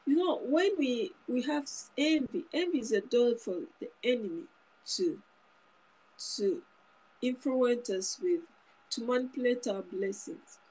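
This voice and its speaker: A woman's voice: fairly high pitch, lively intonation, measured pace, a little mellow, breathy edge, rough recording. A woman in her thirties giving an informal, earnest sermon to her phone camera in a small room, often repeating and restarting phrases. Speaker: preacher. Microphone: phone camera close up.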